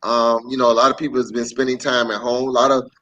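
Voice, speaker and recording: A man's voice: mid-range voice, level tone, fast, sharp tone, muffled recording. A man hosting a casual interview, asking a guest questions in a conversational way. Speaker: host.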